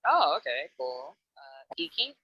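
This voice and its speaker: A woman's voice: deep voice, sing-song, slightly rough, no echo, muffled recording. A woman hosting a dating show call-in, chatty and upbeat, cheering each guest on. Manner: upbeat, answers each guest with a quick "awesome". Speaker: host. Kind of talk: casual chat, running a call-in dating show.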